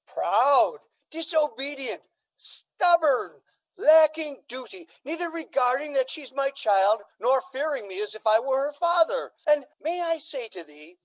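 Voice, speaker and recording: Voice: sits high, poor audio. An actor playing an aging, scheming Duke in a Shakespearean radio drama, with a theatrical, courtly and emphatic delivery. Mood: aggrieved, bitter. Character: aging, scheming Duke, aggrieved father feigning lovesickness.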